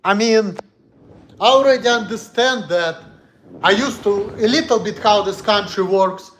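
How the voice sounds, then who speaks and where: A man's voice: high voice, a little slow, fairly bright tone, a little hiss or hum. A man from Russia with a Russian accent, talking bluntly and heatedly on a casual livestream in broken English. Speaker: streamer.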